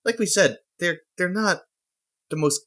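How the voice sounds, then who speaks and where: A man co-hosting a comedy podcast, talking in a hesitant, halting way. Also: sits high, fairly bright tone. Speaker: podcast co-host. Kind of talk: improvised comedy chat.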